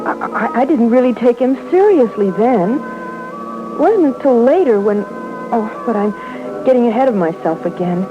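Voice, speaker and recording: A woman's voice: on the high side, lively intonation, normal speed, natural voice. An actress playing an anxious, fretful teenage girl in old radio suspense style, with hesitant, stammering speech. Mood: troubled. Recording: muffled old radio broadcast with music.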